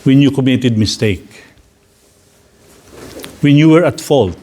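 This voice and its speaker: A man with a Filipino English accent, a priest leading a slow, gentle guided reflection for a gathering. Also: medium pitch. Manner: slow, deliberate, gently inviting.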